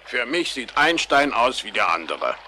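A man's voice: mid-range voice, very animated, normal speed, bright, forceful tone, a little hiss or hum. A man voicing a dry, talkative, reassuring bird companion in a cartoon audio play. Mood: sceptical.

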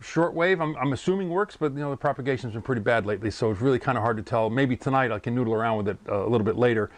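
A man's voice: mid-range voice, very fast, no room sound. A man in his sixties casually reviewing a portable radio, thinking aloud and correcting himself as he goes, recorded on a lapel mic at home and on a screened porch. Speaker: ham radio hobbyist reviewing a radio. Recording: radio static and noise behind.

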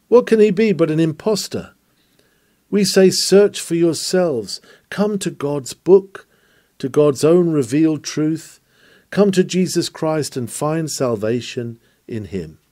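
A man's voice: on the high side, warm tone. A man reading aloud an old sermon in a declamatory preaching style, pushing up hard on exclamations and questions. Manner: declamatory, rising emphasis on exclamations. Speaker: reader of a sermon.

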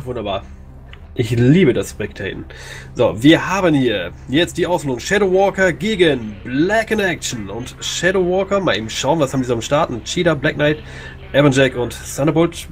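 A man casually commentating a video game match, chatty and quick to react. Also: medium pitch. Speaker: esports commentator.

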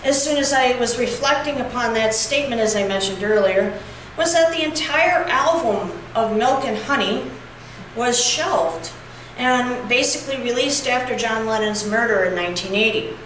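A woman's voice: on the high side, a bit bright, distant mic, slight background noise. Woman preaching in an echoey church. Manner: reflective, measured preaching with occasional fillers. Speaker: pastor. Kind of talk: sermon. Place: church sanctuary, at a pulpit. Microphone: far camera.

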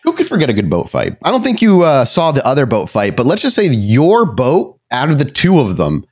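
A man hosting and narrating a tabletop roleplaying game, often halting and correcting himself as he goes. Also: medium pitch, wildly swooping pitch, a little mellow. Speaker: podcast host and game storyteller.

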